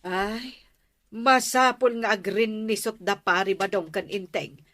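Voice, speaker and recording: An actress with an Ilocano accent playing a suspicious, assertive village wife in a radio comedy drama. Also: female voice, medium pitch, a little slow, a bit bright, close to an everyday voice.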